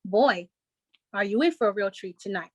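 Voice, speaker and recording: A woman's voice: medium pitch, lively intonation, moderate pace, dry recording, lightly acted. A woman playing a chipper 1940s radio show host, giving scripted announcements in a showy, presentational way. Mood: showy, upbeat.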